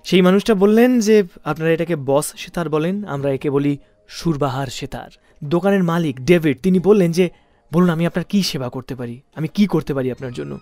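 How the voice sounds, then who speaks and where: High-pitched Bengali male radio storyteller. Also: warm tone, no room sound. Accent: Indian Bengali. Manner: theatrical, with drawn-out suspenseful pauses and emphatic phrasing. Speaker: radio show host. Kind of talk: dramatic anecdotal storytelling for a music radio show. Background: music under the voice.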